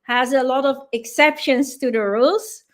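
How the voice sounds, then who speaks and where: A woman's voice: high-pitched, normal speed. A woman whose first language is Dutch, a guest in a casual interview, explaining things patiently with many fillers.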